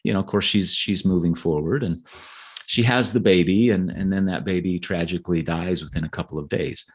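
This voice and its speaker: A man speaking as an author guest in a conversational interview, explaining in a halting way and often repeating words. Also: sits low, breathy edge. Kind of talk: conversational interview, explaining history and his novels.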